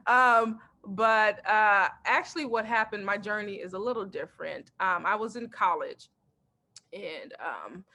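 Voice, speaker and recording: Woman comedian from texas, bright voice, lots of laughs, acts out her mom and dad in stories. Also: medium pitch, measured pace. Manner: animated storyteller, acts out quotes, laughs often. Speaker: stand-up comedian, guest. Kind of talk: casual interview chat.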